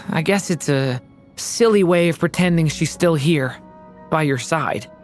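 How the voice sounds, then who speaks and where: An earnest, gentle young boy in a game cutscene, soft and hesitant. The voice sits a little low and moves very slowly, with faint music behind it. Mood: wistful, sad. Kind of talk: dramatic video game voice acting.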